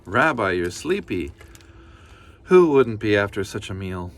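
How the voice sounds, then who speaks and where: A man voicing a kindly old grandmother who fusses warmly over her guest. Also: lowish voice, big pitch swings, unhurried. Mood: doting. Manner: doting, gently scolding.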